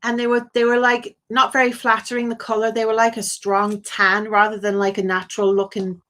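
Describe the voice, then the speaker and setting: A woman's voice: on the high side, flat delivery, brisk, sharp tone. A British woman hosting a live phone-in as a psychic medium, chatting casually through a reading and often checking that the caller understands.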